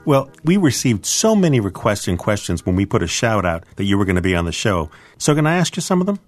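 Lively low-voiced male radio host. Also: fast talker, no room sound, faint music behind. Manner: friendly, jokey asides. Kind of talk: scripted radio hosting.

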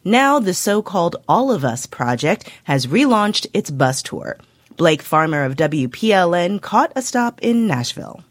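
A radio host reads scripted news introductions in a smooth, even way. The studio sound is very dry, and the voice sits at a middle pitch. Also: unhurried, no echo.

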